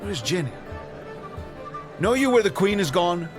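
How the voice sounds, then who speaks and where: A man's voice: sits high, sing-song, a little slow. An actor playing a commanding, forcedly merry male king in a theatrical audio drama. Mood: forced cheer. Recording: long hall reverb, faint music.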